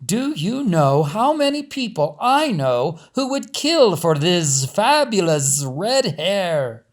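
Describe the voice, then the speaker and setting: A man voicing a gushing, effusive middle-aged small-town beautician woman in a storybook, with exclamatory, drawn-out delivery. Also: high-pitched, very animated, voice pushed up, full character voice. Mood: gushing, delighted.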